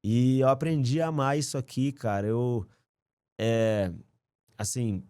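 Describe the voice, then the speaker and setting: A man, a podcast guest telling his life story in a relaxed, slangy, earnest way. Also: medium pitch, measured pace, clean tone, no echo. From Rio de Janeiro, raised in Brasília. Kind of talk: casual conversational storytelling.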